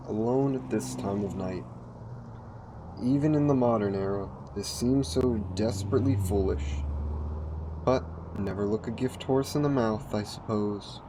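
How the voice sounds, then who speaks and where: Slow, low-voiced courtly male vampire acted by a man. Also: noisy background, natural voice. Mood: predatory, musing. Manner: formal, old-fashioned, courtly wording. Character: ancient male vampire, courteous, smooth-tongued, predatory former king. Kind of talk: intimate audio roleplay acting.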